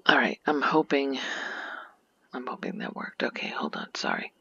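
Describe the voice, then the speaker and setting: A woman giving a soft-spoken ASMR game tour in a gentle, informal, hushed voice that often slips into a whisper, on a muffled home recording. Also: a bass voice, big pitch swings, normal speed, husky. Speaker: ASMR video creator and gamer.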